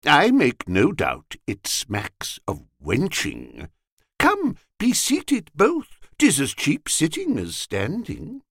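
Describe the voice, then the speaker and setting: Man voicing a hearty, swooping old-fashioned gentleman. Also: fairly low pitch, huge pitch swings, slow, gravelly, hardly any pauses, no room sound, clean studio sound, exaggerated voice. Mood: hearty, teasing. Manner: hearty, archaic gentlemanly phrasing. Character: jovial, bawdy gentleman inviting company to sit. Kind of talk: audiobook character voice.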